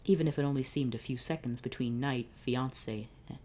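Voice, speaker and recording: A woman with a low, dark, mellow voice reads a story aloud in a dry comedic way. She breaks off into exasperated, sardonic remarks and keeps a deadpan narration pace. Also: fairly quick. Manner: exasperated, sardonic, swearing asides. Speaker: channel host reading fan fiction. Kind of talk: comedic dramatic reading with asides.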